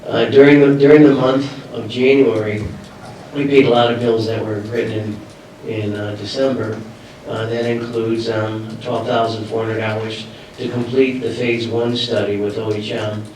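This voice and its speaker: A man with a head cold gives a treasurer's report at a board meeting. He sounds nasal and hesitates often with fillers. His voice is low and flat, and he sounds distant in a hall with heavy echo. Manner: halting, many uh and um fillers. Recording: echoey hall, distant mic, faint noise.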